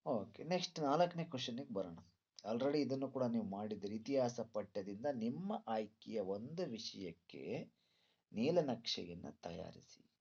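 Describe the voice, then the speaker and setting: A man's voice: on the low side, lively intonation, measured pace, vocal fry, a little mellow, slightly rough. A male teacher lecturing students through exam questions, didactic, repeating points and posing rhetorical questions. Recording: dull lo-fi sound.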